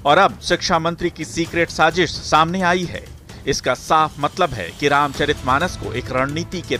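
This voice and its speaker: A man's voice: on the high side, bright, forceful tone. A man reading a scripted news report as a voice-over, in a dramatic, insinuating manner. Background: background music.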